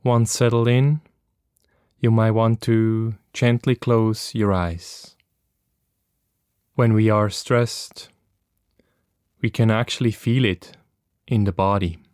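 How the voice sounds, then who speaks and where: A man leads a guided meditation in a clear, smooth voice recorded very dry and close. His instruction is gentle and very slow, with long pauses between phrases. He sounds like a practiced teacher taking listeners through a body scan. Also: lowish voice, fairly even intonation, no room sound.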